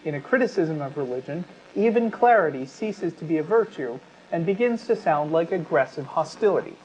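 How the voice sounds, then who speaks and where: Casting: male talk co-host, slightly high register, conversational commentary and read-aloud, on muffled, noisy audio. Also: animated, a little fast, darkish tone. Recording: dull lo-fi audio with clear background noise.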